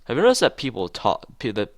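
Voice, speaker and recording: A man's voice: mid-range voice, wildly swooping pitch, fast, no echo, clean studio sound. A man voicing an awkward, thoughtful teenage schoolboy from a visual novel, hesitant and earnest, with music behind.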